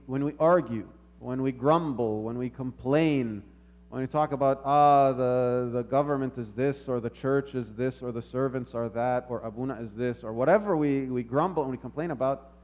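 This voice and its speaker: A man giving a sermon in a measured, reflective manner, posing rhetorical questions and repeating phrases as he teaches. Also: medium pitch, darkish tone. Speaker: preacher.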